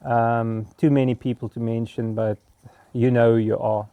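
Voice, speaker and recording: A South African man in his fifties with an Afrikaans accent, speaking in an earnest, halting personal monologue with frequent pauses. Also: medium pitch, level tone, dry recording, narrow, tinny audio. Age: 50s. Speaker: vlogger.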